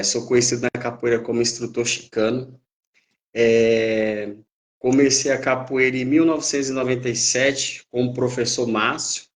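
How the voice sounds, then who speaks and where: A man speaks in a mid-range voice. He talks hesitantly, with fillers and restarts, as a guest on a video-call live stream. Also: mid-range voice. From Brazil. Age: 30s. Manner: hesitant, many fillers and restarts, uneven pace. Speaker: capoeira instructor, guest.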